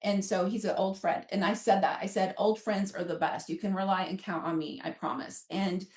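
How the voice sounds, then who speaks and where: A woman's voice: mid-range voice, moderate pace. A middle-aged woman chatting casually as a podcast guest, telling her own story and acting out remembered dialogue. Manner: animated retelling with quoted dialogue. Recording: roomy, echoing room sound.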